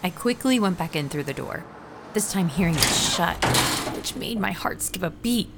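A woman's voice: on the low side, expressive, normal speed, creaky, heavy background noise. An actress playing a frightened ordinary woman in an audio drama, narrating and speaking in a tense, hesitant way. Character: anxious ordinary woman, a frightened witness narrating her ordeal. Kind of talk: first-person audio drama narration and dialogue.

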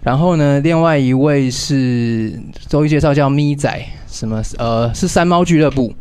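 A man with a Taiwanese Mandarin accent chatting casually as a host, in an animated, easygoing manner. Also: medium pitch, normal speed, smooth. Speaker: radio host and zine editor. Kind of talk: casual chat.

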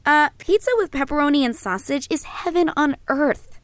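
A woman's voice: high-pitched, normal speed, no room sound, lightly acted. An actress playing a headstrong, flippant American film student in her early twenties in an audio drama. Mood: casual, teasing. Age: early 20s. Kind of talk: audio drama acting, documentary narration and casual banter.

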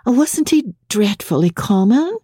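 A woman's voice: on the high side, sing-song, slow, mellow, husky, dry recording, studio quality, voice pushed up, exaggerated voice. A woman voicing a young society wife, cool, snobbish and naive, in a dramatic audiobook reading. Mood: cool disdain. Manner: cool, genteel, dismissive.

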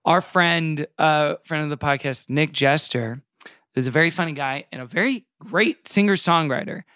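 A man's voice: a little high, normal speed, sharp tone, dry recording, clean studio sound. A man hosting a podcast in casual comedic chat, with a jokey, mock-earnest way of talking.

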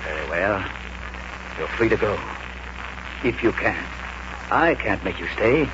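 A big, fatalistic stone carver with a deep voice. He speaks formally and portentously in 1940s radio style. The old broadcast sounds muffled, with strong hum and hiss. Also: expressive, unhurried. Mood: insistent, ominous. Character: ominous, insistent large male stone carver, fatalistic. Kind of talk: 1940s radio drama acting.

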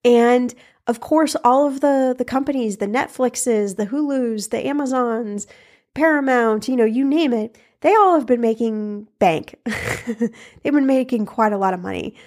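Hoarse, froggy-voiced woman host. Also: a little high, measured pace, darkish tone. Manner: chatty, laughs between points. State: hoarse from a cold. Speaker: podcast host. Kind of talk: casual solo podcast talk.

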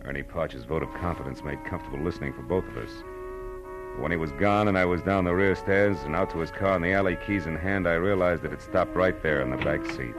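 An actor playing a wry, terse private detective in a 1940s radio drama, on an old recording. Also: male voice, a bass voice. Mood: wry narrating. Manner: terse, dry, sardonic. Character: hard-boiled, wry male private detective. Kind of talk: 1940s radio acting, first-person narration.

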